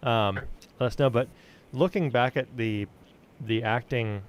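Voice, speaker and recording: A man hosting a casual interview podcast, phrasing his thoughts in a hesitant, drawn-out way. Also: mid-range voice, slight background noise. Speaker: podcast host.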